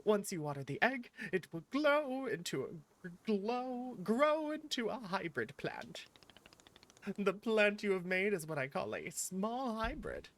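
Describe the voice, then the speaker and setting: A woman voicing a gentle, patient garden keeper in a cozy video game, with courteous, slightly formal instructions. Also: mid-range voice, big pitch swings, measured pace. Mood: patient, encouraging. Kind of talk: reading cozy video game dialogue aloud.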